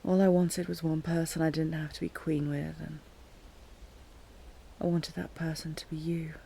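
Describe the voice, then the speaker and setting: A woman playing a wounded, delirious captive queen in an intimate audio roleplay, breathy and often whispering, halting and lovelorn as if half-dreaming. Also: low-pitched, little pitch movement, dark tone, a little hiss or hum. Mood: remorseful, yearning.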